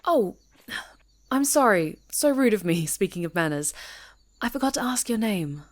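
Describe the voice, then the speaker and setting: A woman's voice: mid-range voice, animated, moderate pace, lightly acted. A woman playing a chatty, easygoing camper in an ASMR roleplay, talking conversationally and teasingly to an unheard listener. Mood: friendly, teasing. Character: friendly, chatty woman camper on a woodland retreat.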